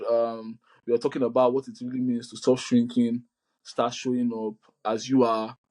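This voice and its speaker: A man hosts a relaxed podcast conversation over a video call, his voice mellow and darkly toned. He talks loosely, with plenty of ums and restarts. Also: medium pitch. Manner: loose and hesitant, many fillers and restarts. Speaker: podcast host.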